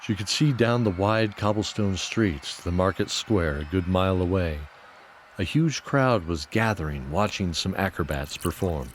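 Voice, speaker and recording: A man narrating a scripted fantasy audio drama in a measured, descriptive storytelling manner. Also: low voice, animated, a little hiss or hum. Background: music.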